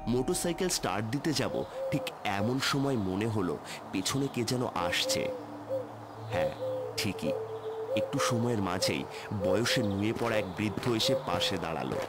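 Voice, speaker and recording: A man's voice: lowish voice, wildly swooping pitch, moderate pace, very noisy. A man narrating a scripted first-person horror story as the storyteller. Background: loud music.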